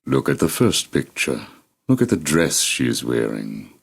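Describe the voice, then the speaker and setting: Sinister psychiatrist, video game villain, bottomless gravelly voice, measured, formal, coldly manipulative, condescending. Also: male voice, rumbling low, very animated, heavily acted. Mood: probing, coaxing. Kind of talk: dramatic video game voice acting.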